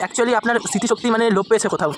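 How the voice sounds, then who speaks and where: A man's voice: high-pitched, racing, a loud crowd. A man making a prank phone call as a reproachful former lover, insistently questioning.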